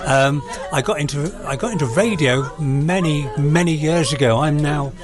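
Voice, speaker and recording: A man's voice: on the high side, normal speed. A man in his sixties from South Wales with a Welsh accent, chatting earnestly as a guest on hospital radio. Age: 60s. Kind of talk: casual radio interview. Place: busy room at a radio party. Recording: crowd chatter in the room. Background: crowd chatter.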